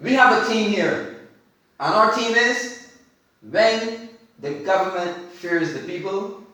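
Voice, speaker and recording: A man's voice: high-pitched, expressive, slow, bright, forceful tone. A man hosting a talk show in a conversational style, emphatic and given to repeating his phrases. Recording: very echoey room, far from mic.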